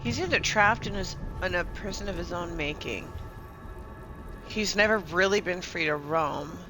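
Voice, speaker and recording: A woman's voice: lowish voice, moderate pace, over music, rough recording. A woman giving casual, playful live commentary while streaming a video game.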